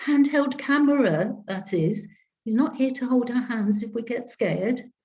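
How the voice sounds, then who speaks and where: A woman's voice: on the high side, unhurried, a little mellow, voice pushed up, lightly acted. A woman storyteller voicing a confident, teasing woman psychic with dry wit, heard over a video call. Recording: dull lo-fi video call audio.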